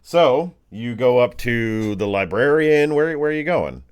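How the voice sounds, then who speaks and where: A man working as a game master, improvising tabletop narration casually and haltingly, searching for words. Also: medium pitch, sing-song, clear, resonant tone.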